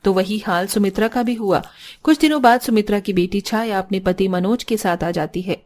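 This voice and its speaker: A woman's voice: medium pitch, moderate pace, a little mellow. A woman reading scripted narration for a cartoon story.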